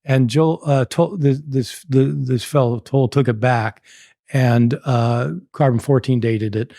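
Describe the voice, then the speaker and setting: A man in his sixties from the United States, a scholar telling a lively anecdote in an interview and laughing often. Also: mid-range voice, a little slow, gravelly, dry recording, clean studio sound. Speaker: guest scholar. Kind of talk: storytelling in a podcast interview.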